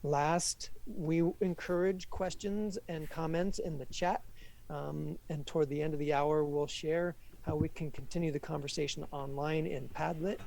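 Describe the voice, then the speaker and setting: A man's voice: fairly high pitch, gravelly, a little mellow, a little hiss or hum, rough recording. A man moderating an online conference session over a video call, welcoming the audience and running logistics with frequent fillers.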